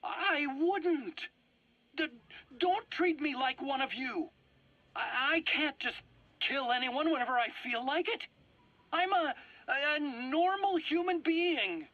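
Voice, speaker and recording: A high-pitched, nervous scientist stammers through defensive excuses in a video game drama. The delivery is halting, pleading and evasive. The recording is close and dry, slightly dull like a cassette. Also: male voice, lively intonation, no room sound, heavily acted. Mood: panicked, indignant.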